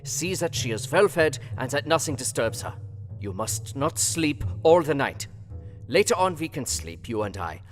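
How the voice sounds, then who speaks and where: A man's voice: a little high, big pitch swings. Man acting commanding foreign professor in cavernous reverb. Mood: grave, commanding. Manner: quaint, formal, oddly phrased English with deliberate delivery. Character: earnest, commanding foreign professor-doctor, elder mentor. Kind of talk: dramatised audio reading of a Victorian gothic novel. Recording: long hall-like reverb, music underneath.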